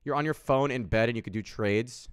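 A man's voice: mid-range voice, fairly quick, clean tone, no echo, clean studio sound. A man of thirty streaming from home, rambling in casual chat and swearing freely, with lots of restarts. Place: home room with window, indoors.

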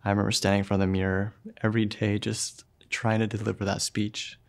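Soft, halting young man. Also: on the low side, little pitch movement. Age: young adult. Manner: halting, soft-spoken, with pauses and fillers. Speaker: student filmmaker narrating his own story. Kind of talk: personal narration for a short film.

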